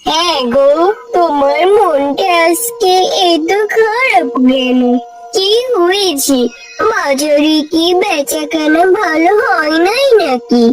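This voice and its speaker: A woman's voice: very high voice, animated, takes it slowly, heavily acted. A woman voicing a sly, scheming village wife, a cartoon villainess with a coaxing, conspiratorial manner. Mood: concerned. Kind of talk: cartoon dialogue. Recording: distant voice under loud music.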